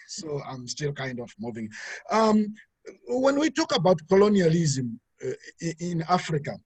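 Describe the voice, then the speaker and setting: Husky-voiced Zimbabwean pastor, halting. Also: male voice, fairly high pitch, very animated, darkish tone, dry recording. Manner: halting, many fillers and restarts. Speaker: pastor and webinar speaker. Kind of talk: webinar talk.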